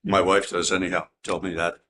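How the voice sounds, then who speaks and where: A man on a planning board, loud-voiced and deliberate as he questions an applicant. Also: low-pitched, little pitch movement, a little hiss or hum. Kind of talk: questioning at a public meeting.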